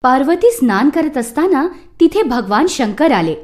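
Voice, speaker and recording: A woman narrates a children's myth from a script. Her voice is high and very animated, with expressive, dramatic storytelling delivery. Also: moderate pace.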